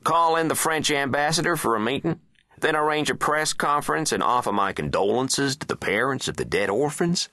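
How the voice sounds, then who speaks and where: A man's voice: mid-range voice, lively intonation, moderate pace, bright, forceful tone, no echo, studio quality, voice pushed up. A man voicing a petulant, exasperated American president in a satirical audiobook, sarcastic and whiny, with emphatic swearing. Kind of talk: satirical audiobook character acting.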